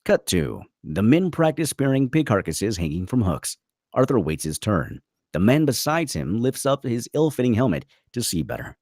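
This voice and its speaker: A man's voice: medium pitch, very animated, normal speed, a little mellow, no echo, clean studio sound. A man reading stage directions as the narrator of a dramatic film script at a table read.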